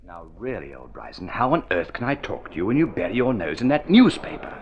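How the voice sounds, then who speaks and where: Spoiled young heir, low-pitched, hugely animated, breezy slang, radio drama, dull vintage recording. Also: male voice, a little fast, slight background noise. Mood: flippant, chatty. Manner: breezy, exclamatory upper-class slang. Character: flippant, spoiled, idle young gentleman heir. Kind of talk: old-time radio drama acting.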